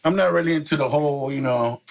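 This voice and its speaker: A man's voice: on the high side, fast talker, gravelly, a little hiss or hum, rough recording. A middle-aged man from El Salvador, a DJ guest chatting casually in laid-back street slang, laughing often and saying "you know" a lot. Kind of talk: casual interview chat.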